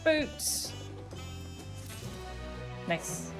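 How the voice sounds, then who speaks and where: A woman's voice: drawn-out words, over music. A woman streaming a video game, giving casual, playful, giggly commentary and reading some game text aloud.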